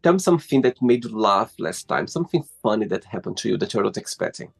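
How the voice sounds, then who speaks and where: A man hosts a casual interview show. His voice sits on the low side, and his questions wander through restarts and "you know" before they land. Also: sing-song, fast, creaky, breathy edge, faint music behind. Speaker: host.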